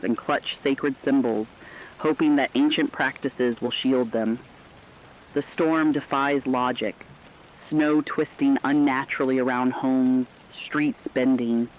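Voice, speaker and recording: A man's voice: medium pitch, highs cut off, lightly acted. A man playing a solemn radio host, warning listeners of supernatural doom in portentous, emphatic scripted narration.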